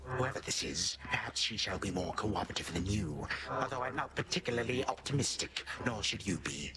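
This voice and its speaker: An actor playing a menacing, condescending ancient Titan grandfather in a video game, with slow, archaic phrasing. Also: fairly low pitch, animated, heavy background noise, lightly acted. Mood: cold menace. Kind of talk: dramatic video game acting. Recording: game music behind voice.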